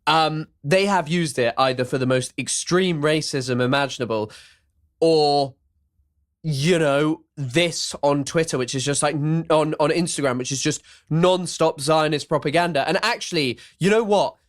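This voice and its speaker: A man hosting a casual podcast, his voice loud and a bit raised in pitch. He rambles, circles back and restarts his sentences as he talks. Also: moderate pace. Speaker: podcast host.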